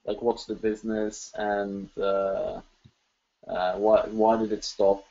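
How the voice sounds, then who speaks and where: A man's voice: lowish voice, completely flat delivery, slow pace, vocal fry, mellow. A man hosting a live webinar Q&A, chatty and enthusiastic, with lots of ums and restarts. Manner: chatty, filler-heavy, enthusiastic. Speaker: webinar host. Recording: dull lo-fi webinar audio.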